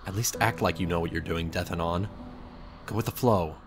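A man narrates a fan fiction story in a low-pitched voice. He tells it dramatically, as a storyteller addressing the listener, while music plays clearly behind him. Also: fairly quick. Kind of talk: scripted narration of fan fiction in second person.

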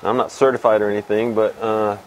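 A man in his fifties from Texas hosting a casual weekly fly fishing report to camera, relaxed and rambling with many pauses, on a lapel mic outdoors. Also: fairly low pitch, dull audio, slight background noise. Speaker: fly fishing show host. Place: outdoors under a shed with corrugated metal wall.

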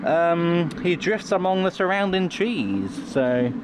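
A man's voice: high voice, very animated, slow pace. A man hosting a casual walking vlog outdoors in a dry, tongue-in-cheek way. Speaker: vlog host. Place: outdoors on village roads. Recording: outdoor street noise, passing vehicles.

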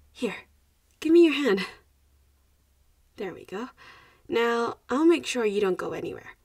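A woman's voice: a little high, a little mellow, no echo, clean studio sound, recorded from a distance, full character voice. A woman voicing an anxious, protective popular vampire schoolgirl in an audio roleplay, hesitant and reassuring as she speaks to the listener. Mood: brisk, puzzled.

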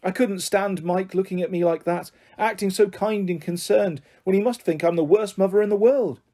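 A woman's voice: medium pitch, moderate pace, mellow, pitched above the performer's own voice, natural voice. A woman voicing an anxious, snappish but loving mother in a storybook. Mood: tearful, remorseful.

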